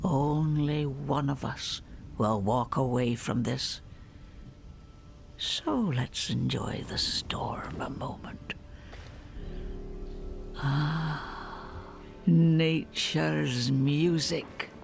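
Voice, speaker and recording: An actor playing a menacing druid warrior in a video game, a serenely ruthless prophet of nature who speaks in a theatrical, ominous way. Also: male voice, mid-range voice, very slow, vocal fry, pauses often, faint music behind. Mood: savouring menace. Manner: theatrical, ominous, savouring each phrase. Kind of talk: video game villain acting.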